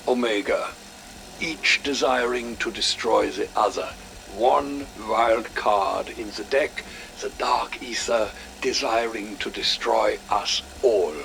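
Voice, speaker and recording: An actor playing a weary, haunted research scientist, speaking in a grave, deliberate, brooding manner for a video game audio log. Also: male voice, lowish voice, creaky, sharp tone, slight background noise, exaggerated voice, poor audio.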